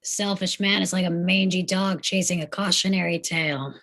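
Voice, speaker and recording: A woman playing a tough, no-nonsense female soldier who is curt and commanding, in an animated film table read. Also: lowish voice, moderate pace. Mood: stern.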